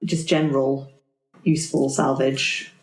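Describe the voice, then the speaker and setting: A woman's voice: very deep voice, level tone, unhurried. A woman playing a tabletop roleplaying game, chatting casually and thinking aloud as she improvises. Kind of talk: casual improvised in-character chat. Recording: roomy, echoey tabletop recording.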